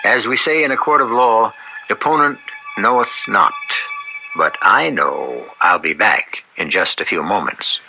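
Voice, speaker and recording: Low, creaky-voiced male radio host. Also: animated, over loud music, poor audio. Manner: wry, measured, reflective. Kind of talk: old-time radio anthology narration.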